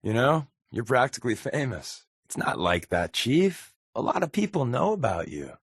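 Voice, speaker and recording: A man playing a wry, sarcastic spy companion in a video game, with a deadpan, flippant delivery. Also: medium pitch, moderate pace, breathy edge, no room sound, studio quality.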